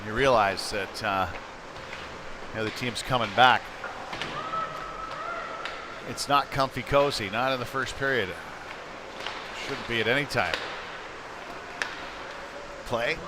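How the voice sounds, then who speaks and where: A man commentates live on an ice hockey game in a medium-pitched voice. He talks in short, conversational fragments that follow the play. The noise of the rink is clearly audible around him. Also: slow, pauses often. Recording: noisy live rink broadcast.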